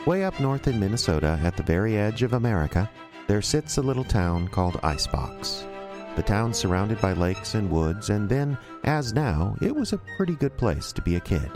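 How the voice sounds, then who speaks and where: A man's voice: on the low side, big pitch swings, normal speed. A man acting as a folksy storyteller, narrating an audio drama directly to listeners. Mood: folksy, wistful. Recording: music behind.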